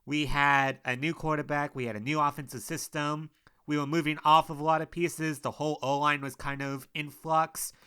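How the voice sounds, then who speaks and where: A young man with a stuffy, sniffly cold delivers a casual solo rant. His voice is bright and forceful, with a smooth, crisp tone. He sounds candid and frustrated, and he rambles. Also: a little high, little pitch movement, normal speed. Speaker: sports podcaster. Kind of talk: casual opinion monologue.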